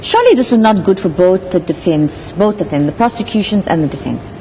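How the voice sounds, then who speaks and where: Direct female interviewer, medium pitch. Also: lively intonation, brisk, warm tone, a little hiss or hum, low-quality recording. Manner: direct, prompting. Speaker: broadcast interviewer, host. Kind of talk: live interview, conversational news analysis.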